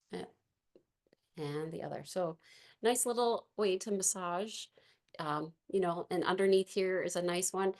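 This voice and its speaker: A woman with a raspy voice leading a gentle movement and meditation class, giving soft, unhurried instructions with fillers. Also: mid-range voice.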